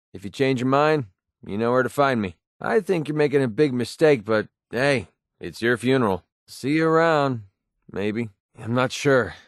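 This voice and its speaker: A man's voice: medium pitch, no echo, lightly acted. Man acting wry young mercenary, dry close sound. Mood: easygoing. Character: cynical wisecracking young male mercenary sharpshooter, guarded. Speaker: video game companion voice actor. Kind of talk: game character dialogue, naturalistic acting.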